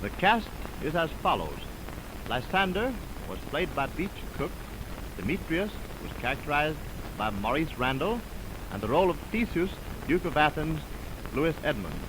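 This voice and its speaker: A noisy vintage recording from 1920s radio carries an American man announcing. His voice sits a little high. He reads from a script in a formal, measured way, with careful enunciation. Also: big pitch swings. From United States. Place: radio studio. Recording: noisy 1929 radio film-soundtrack recording.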